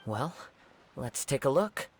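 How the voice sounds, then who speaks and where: A young man voicing a calm wandering samurai in a video game, speaking in a measured, thoughtful way. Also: mid-range voice, slight background noise.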